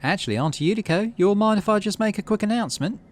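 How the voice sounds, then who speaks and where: A man's voice: high voice, animated, fast, voice pushed up. A man voicing an earnest, folksy young woman councillor in a sci-fi game story.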